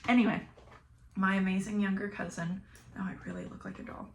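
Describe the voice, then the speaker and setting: A young woman with a Southern American accent, chatting flatly and casually while doing her makeup, sometimes dropping to a mumble or whisper. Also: mid-range voice, fairly quick, slight background noise. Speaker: beauty vlogger. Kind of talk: casual get-ready-with-me chat.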